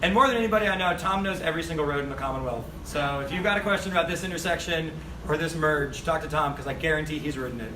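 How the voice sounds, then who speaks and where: A man moderating a panel in a booming, friendly teacher voice. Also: quick pace, few pauses. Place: echoey conference room. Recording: echoey room, far from mic, background noise.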